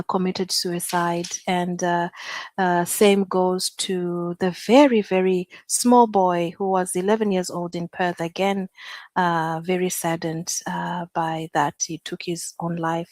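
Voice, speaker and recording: A woman's voice: lowish voice, no room sound. A middle-aged woman with a Zimbabwean accent hosting a casual live talk show, speaking hesitantly and repeating words for emphasis. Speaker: host.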